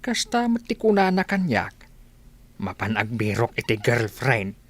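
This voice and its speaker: A blunt older uncle from a village radio drama, voiced by a woman. The voice sits low and swings widely as he admonishes in a matter-of-fact manner. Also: sing-song, unhurried, full character voice.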